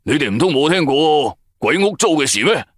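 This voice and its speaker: A man's voice: a little high, very animated, brisk, fairly bright tone, dry recording. A man voicing a chatty, boastful middle-aged night taxi driver in a Cantonese audio drama, hearty and bragging. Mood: wry, jovial.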